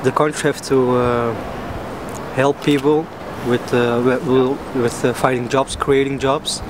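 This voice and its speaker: A man, a law student answering in a halting way with many stumbles. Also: mid-range voice, flat delivery. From Tilburg, Netherlands. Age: 20s. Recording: loud wind and water noise outdoors.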